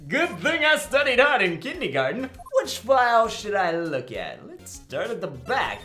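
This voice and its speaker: Game fandub lead: man as quippy young male lawyer, earnest, sarcastic, lively pitch movement, music underneath. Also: moderate pace, heavily acted. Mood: musing. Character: wisecracking young defense attorney, earnest and incredulous. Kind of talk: visual novel game fandub acting. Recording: music behind the voice.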